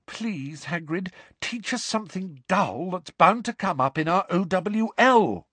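A man's voice: on the high side, very animated, unhurried, fairly bright tone, dry recording, pitched above the performer's own voice, exaggerated voice. A man voicing an anxious, determined schoolgirl in an audiobook, speaking in an earnest, pleading, articulate way. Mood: urgent pleading.

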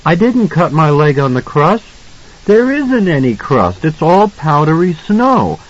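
A man voicing a frightened, tearful small boy in an audiobook, pleading and earnest, drawing out his halting words. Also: a little high, big pitch swings, dull audio, pitched above the performer's own voice. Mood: earnest, hurt. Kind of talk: audiobook character acting.

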